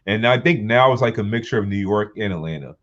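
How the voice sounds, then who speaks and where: A man's voice: lowish voice, animated, quick pace, a bit bright. Matter-of-fact man on a dull mic. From United States. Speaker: podcast co-host. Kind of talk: casual chat.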